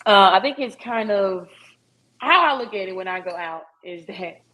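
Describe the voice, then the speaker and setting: A woman's voice: mid-range voice, animated, moderate pace, pushed and bright, rough recording. A woman hosting a podcast, talking in a chatty, frank way with laughs and filler words. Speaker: podcast host. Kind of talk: casual podcast chat.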